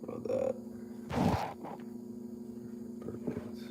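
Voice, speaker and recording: A man's voice: extremely slow, noisy background. A man who is a personal trainer, sounding nasal and stuffed up from a head cold, chatting casually and repeating himself on a livestream. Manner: relaxed, chatty, repeats himself, reads out viewer comments. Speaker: personal trainer and gym owner.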